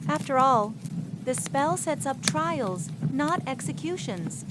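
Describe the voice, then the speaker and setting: A woman's voice: sits high, animated, slow, loud music behind the voice, voice pushed up. A woman voicing a stern, weary old male policeman who gives grave instructions in an audiobook. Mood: patient, instructing. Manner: grave, instructing, clipped warnings.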